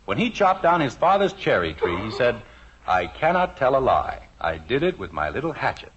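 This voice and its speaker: Wry sitcom dad, very animated pitch. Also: male voice, normal speed, breathy edge. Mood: gently scolding. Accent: American. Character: mild, wry, patient middle-aged family father. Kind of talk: 1950s radio sitcom acting. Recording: dull old radio recording, faint noise.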